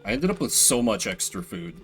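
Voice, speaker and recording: A man's voice: lowish voice, very animated, fairly quick, fairly bright tone, soft background music. A man giving playful, theatrical commentary as he streams a game, reading its text aloud.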